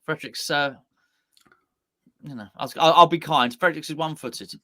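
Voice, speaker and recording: Bright-voiced man, halting chat. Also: on the high side, dry recording, studio quality. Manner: rambling, halting with repeated restarts. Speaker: co-host. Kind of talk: casual live watch-along chat.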